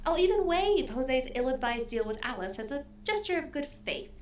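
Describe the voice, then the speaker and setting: A woman's voice: high voice, big pitch swings, slight background noise. A woman playing a smug, cruel audio drama villainess who speaks with mocking, menacing courtesy. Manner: mocking, drawn-out, chuckling, menacingly polite.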